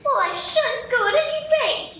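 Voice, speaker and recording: Woman playing a polite young girl, very high. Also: expressive, normal speed, bright, forceful tone, full character voice. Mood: earnest. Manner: polite, apologetic. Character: polite, earnest young girl grown giant. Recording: echoey stage from a distance, faint noise.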